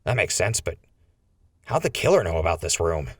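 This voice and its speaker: A man's voice: low voice, sing-song, normal speed, husky, no room sound, clean studio sound, full character voice. A man playing a wry, inquisitive sidekick investigator in a radio drama, folksy and conversational. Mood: curious.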